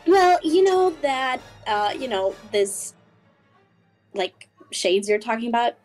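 A woman voicing a dry, sceptical, needling young princess in an improvised comedy fan dub, speaking haltingly. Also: a little high, very animated, a little mellow, poor audio. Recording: music behind the voice.

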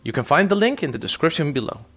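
A man's voice: fairly high pitch, big pitch swings, fast, a bit bright, breathy edge. A man narrating a scripted promotional outro as an announcer.

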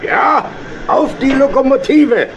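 A man's voice: very high-pitched, lively intonation, slow, vocal fry, raised voice, muffled recording, a loud crowd, heavy background noise, exaggerated voice. A man playing a jovial, kindly steam locomotive driver in a German children's audio play, with a hearty manner. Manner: hearty, calling out, chuckling.

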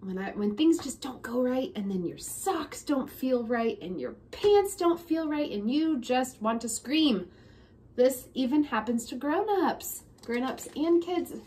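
A woman's voice: sits high, expressive, unhurried, mellow. A woman in her thirties hosting an interactive read-aloud, explaining simply and asking a child leading questions. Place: small room at home, indoors. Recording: clear background noise, home camera mic.

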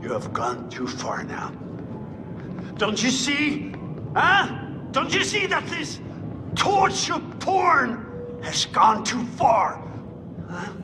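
A man's voice: very high voice, huge pitch swings, slow, long pauses, background noise, full character voice. An actor playing an outraged, unhinged middle-aged man who rants accusingly in a horror game. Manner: ranting, accusatory. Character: outraged, unhinged psychiatrist berating a tormentor. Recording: long echoing hall, music behind.

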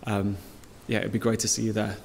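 A man's voice: lowish voice, little pitch movement, a little fast, gravelly. Male church leader, 30s, easygoing delivery, reverberant hall with faint hiss. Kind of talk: leading prayers and dismissal. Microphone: stand mic.

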